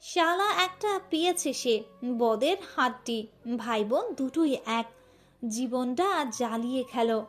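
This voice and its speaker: A woman's voice: high voice, animated, takes it slowly. A woman giving scripted narration of a romantic story. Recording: music bed under voice.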